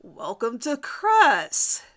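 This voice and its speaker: A woman voicing a mellow but jealous teenage boy with slow, strained politeness in a fan fiction reading. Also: high voice, wildly swooping pitch, full character voice. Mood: strained, reluctant.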